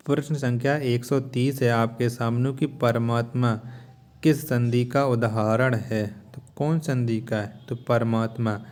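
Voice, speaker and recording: A man gives an exam-prep lesson in a mid-pitched voice. His delivery is slow and methodical. He reads out each question, asks it again rhetorically, and repeats the key answer. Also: a little mellow. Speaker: Hindi grammar teacher for exam prep. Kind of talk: lesson, reading out quiz questions and explaining answers.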